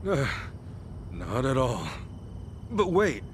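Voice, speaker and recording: A man playing a brash, earnest, excitable gangster hero in a video game cutscene, expressive and slangy, moving between mutters and outbursts. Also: mid-range voice, slow pace, a little hiss or hum. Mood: curious. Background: music.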